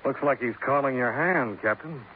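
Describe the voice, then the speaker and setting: An actor playing a cool, level-headed American insurance investigator, dry and matter-of-fact in a 1950s radio detective drama. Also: male voice, medium pitch, moderate pace, narrow, tinny audio, a little hiss or hum, natural voice. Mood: dry, probing. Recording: thin 1950s radio recording.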